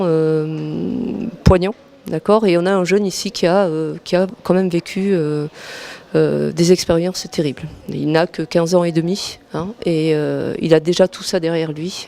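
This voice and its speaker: A man's voice: a little high, steady tone, moderate pace, creaky, mellow. A teacher, a man, giving an interview statement, hesitating often with fillers.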